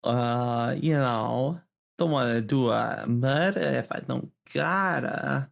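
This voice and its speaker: A man's voice: medium pitch, slow pace, vocal fry. A man chatting and joking casually as a player in a tabletop roleplay game.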